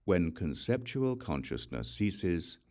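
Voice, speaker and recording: A man narrating scripture from a script in a measured, solemn manner. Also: low voice, very animated, darkish tone. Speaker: audiobook narrator.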